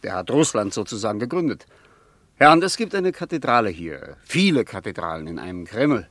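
Rambling, low-voiced male travelling companion. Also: big pitch swings, a little fast, fairly bright tone, no echo. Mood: musing, eager. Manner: rambling, hesitant, self-interrupting. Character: rambling, night-owl travelling companion, eager amateur historian. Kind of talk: radio drama dialogue.

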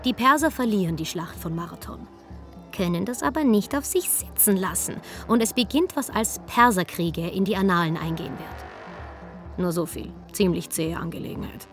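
A woman's voice: fairly low pitch, animated, moderate pace, a bit bright, faint music behind. A woman narrating a scripted comic history programme with wry, colloquial asides.

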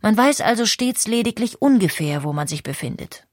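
A woman reading scripted documentary narration in an explanatory, measured way. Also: mid-range voice, expressive, darkish tone, no echo, clean studio sound.

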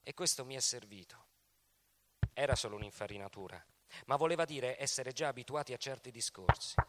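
A man's voice: mid-range voice, a little fast, background noise, close to an everyday voice. An actor playing a plainspoken former partisan reflecting on his youth in a radio theatre testimony. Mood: reflective, subdued.

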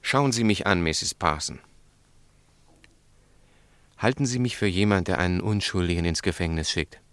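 An actor playing a stubborn, upright snack-bar owner in a German radio drama, speaking in a firm, curt, defensive way. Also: male voice, low-pitched, normal speed, fairly bright tone, clear, resonant tone, no room sound.

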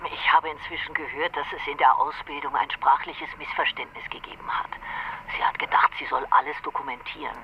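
A woman's voice: sits low, fairly even intonation, fairly quick, natural voice. A woman acting a composed British intelligence officer in a radio drama. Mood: subdued. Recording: dull lo-fi, background noise.

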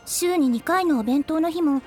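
A woman voicing a high-voiced gentle schoolgirl. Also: normal speed, soft background music, lightly acted. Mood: worried. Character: gentle teenage schoolgirl, former classmate. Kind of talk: anime drama CD acting.

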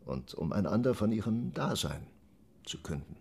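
An actor playing a reflective Ottoman envoy in a radio drama monologue, telling of travels in an expressive, deliberate, literary way, marvelling yet troubled. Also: on the low side, vocal fry. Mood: fascinated, intense.

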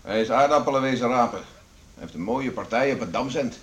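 An actor playing a stubborn, gruff middle-aged father who flares up quickly, in a radio drama. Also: medium pitch, natural voice. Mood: grumbling, wary. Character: stubborn, hot-tempered father of an island family. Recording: hissy old radio play recording.

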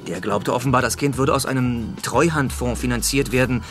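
A man's voice: mid-range voice, a little fast, natural voice. Actor as police sergeant: mid-range male voice, wry, factual, skeptical, radio drama with music underneath. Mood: wry, reporting. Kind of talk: German radio drama acting.

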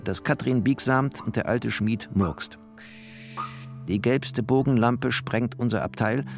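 An actor playing a bookish, weary traveller who narrates in terse, deliberate, expressive literary prose. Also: male voice, mid-range voice, music in the background. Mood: terse, brooding. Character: bookish male first-person narrator, a weary refugee traveller. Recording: clear noisy ambience behind the voice.

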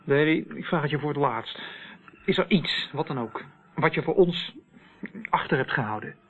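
Actor as harried policeman, higher voice, tinny recording. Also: normal speed, lightly acted. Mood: suspicious. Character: harried, sceptical male Glasgow police officer. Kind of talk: Dutch radio drama acting. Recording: tinny, band-limited old radio play.

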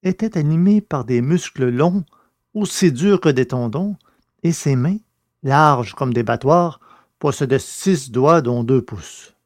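A man narrating a scripted story in a measured, literary reading style. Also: mid-range voice, lively intonation, dry recording, clean studio sound.

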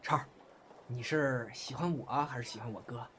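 A man's voice: medium pitch, normal speed, a bit bright, close to an everyday voice. A man playing a jealous, cheeky young brother in an audio drama, chatty and needling in manner. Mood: teasing. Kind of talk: Chinese audio drama dialogue. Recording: faint background noise and ambience.

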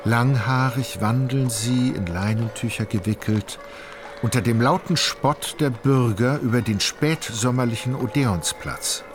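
An actor narrating a literary radio play as an ironic, all-knowing storyteller, measured and ornate in his delivery. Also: mid-range voice, lightly acted. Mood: wry, measured. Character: wry, omniscient, ironic male storyteller. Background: music.

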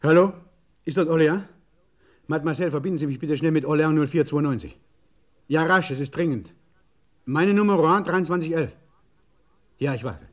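An actor playing an urgent, insistent French car mechanic on the phone in a 1950s German radio play. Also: male voice, on the high side, fairly quick, long pauses, natural voice. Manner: urgent, insistent, muttering to himself in asides.

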